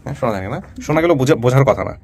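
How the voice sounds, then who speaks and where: A man's voice: medium pitch, expressive, racing, darkish tone, slight background noise. A man with a Bangladeshi accent hosting an interview, insistently repeating his questions and explaining things at length. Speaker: show host and interviewer. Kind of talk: probing conversational interview.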